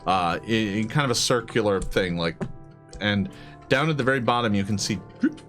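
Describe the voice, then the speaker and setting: A man acting as game master, improvising narration for a tabletop game in a halting, think-aloud way. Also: fairly low pitch, fairly bright tone, faint music behind.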